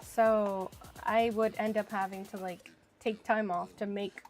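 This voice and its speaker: A woman's voice: medium pitch, flat delivery, a little slow, soft background music. A woman streaming a video game, chatting casually and thinking aloud as she plays. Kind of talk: casual live-stream chat while playing.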